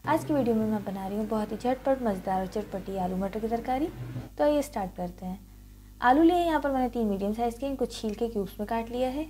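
A woman's voice: fairly high pitch, fast, warm tone, slight background noise. A woman hosting a cooking tutorial, narrating a recipe step by step in an instructional first-person walk-through. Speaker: cooking show host.

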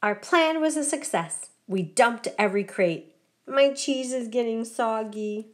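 A woman voicing an excitable little mouse with exclamatory, animated delivery in a children's picture book. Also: on the high side, unhurried, natural voice. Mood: triumphant. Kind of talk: children's picture book read-aloud dialogue.

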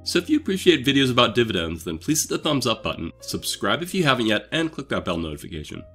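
A man's voice: mid-range voice, big pitch swings, quick pace, fairly bright tone, breathy edge, soft background music. A man hosting a dividend investing channel, reading a scripted, conversational explainer while slightly under the weather. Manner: upbeat, conversational, explaining step by step. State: slightly sick, voice a bit off.

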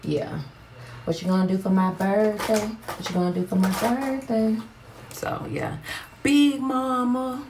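A woman's voice: on the high side, steady tone, unhurried. A woman vlogger chatting casually to the camera, calling viewers y'all. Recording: noisy background.

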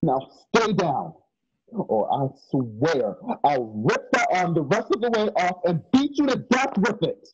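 A man performs a tough, loyal gunfighter in a radio Western. The voice is husky and animated, rising and falling widely, over dull home-call audio. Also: on the high side, a little slow, heavily acted. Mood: tough, threatening. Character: tough, protective male Western gunfighter companion. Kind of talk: Western radio play acting.

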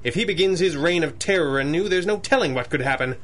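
An actor playing a grouchy, commanding masked crime-fighter with dry, formal speech in a 1930s-style radio drama. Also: male voice, a little high, animated, quick pace, a bit bright, slight background noise. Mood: grave, urgent.

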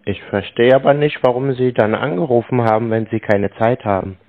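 A man's voice: medium pitch, brisk, dry recording. A man on an unscripted phone call, playing a naive customer who stubbornly keeps questioning. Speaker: prank-calling YouTuber posing as customer. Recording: slightly dull lo-fi phone recording.

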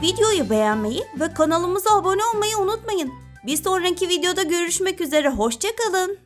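High-voiced woman narrating for young children. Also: animated, a little fast, soft background music. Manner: addresses young children, instructive. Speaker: narrator and channel presenter. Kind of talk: scripted children's story narration.

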